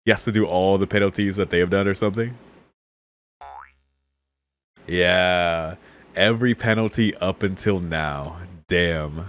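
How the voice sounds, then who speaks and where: A man's voice: low voice, measured pace, long pauses, slight background noise. A man streaming a visual novel, reading it aloud and reacting in a casual, amused, informal way. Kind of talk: casual let's play commentary with read-aloud passages.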